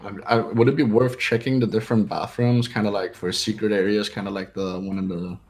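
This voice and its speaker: A man's voice: fairly low pitch, steady tone, brisk, darkish tone, husky. A young man chatting casually as a player in a group game playthrough. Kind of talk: casual chat.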